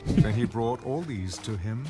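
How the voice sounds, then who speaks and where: A man narrating a dramatized Bible reading in a measured, solemn storytelling manner. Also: medium pitch, animated. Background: music.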